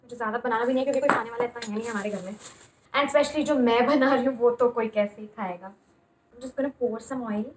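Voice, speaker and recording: A woman's voice: on the high side, brisk. A woman in her twenties with an Indian accent, chatting casually through a home cook-along vlog, switching between Hindi and English. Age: 20s. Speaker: home cooking vlogger. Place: indoors at home, small curtained room. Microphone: phone camera a few feet away. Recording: echoey room, background noise, phone camera.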